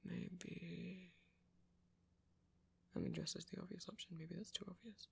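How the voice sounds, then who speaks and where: A nerdy, flustered young vampire, played by a man, talks in a soft ASMR roleplay. The voice is full of fry and breath, often close to a whisper. He rambles sweetly and hesitantly, putting himself down as he goes. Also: high voice, steady tone, a little slow, full character voice. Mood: nerdy, apologetic. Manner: hesitant, rambling, self-deprecating, breaks into soft laughs.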